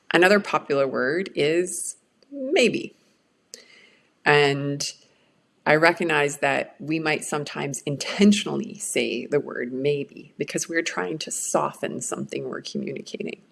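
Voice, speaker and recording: Slow, low, gravelly woman presenter. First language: Swedish. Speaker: change management presenter. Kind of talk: recorded workshop talk.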